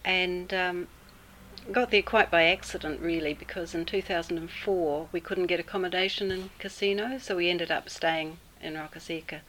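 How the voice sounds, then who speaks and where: A woman's voice: on the low side, fairly even intonation, fairly bright tone, slight background noise, poor audio. New Zealand woman telling a story. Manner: unhurried, with many fillers and pauses. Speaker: interviewee. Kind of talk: casual storytelling.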